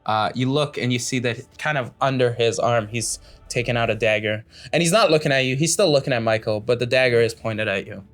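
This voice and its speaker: A man's voice: medium pitch, a little fast, fairly bright tone, soft background music. A man working as game master, improvising tabletop narration in a casual, conversational way.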